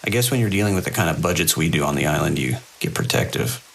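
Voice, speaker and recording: An actor as a seasoned night-watch security chief, very low and full of gravel. He is wry and world-weary in this audio drama, measured but with lively inflection, over faint hiss. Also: heavily acted. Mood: wry, reflective. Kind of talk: audio drama dialogue and log monologue. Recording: faint hiss and rain-like noise.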